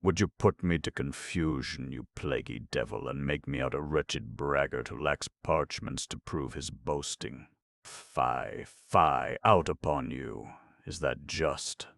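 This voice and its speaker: A man's voice: extremely low pitch, slow, gravelly, dry recording, studio quality, heavily acted. A man voicing a jovial colonel who teases with laughing, mock indignation. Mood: mock outrage. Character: jovial, blustering male colonel, teasing.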